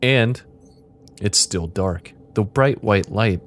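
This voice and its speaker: A man casually narrating an interactive fiction game as its host, in a deadpan, playful way. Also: on the low side, slow, a little hiss or hum.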